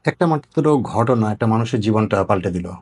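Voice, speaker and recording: A man's voice: on the low side, lively intonation, a little fast, a little mellow, slightly rough. A man conducting a conversational interview, reflective and given to probing, rhetorical questions. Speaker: interviewer, host.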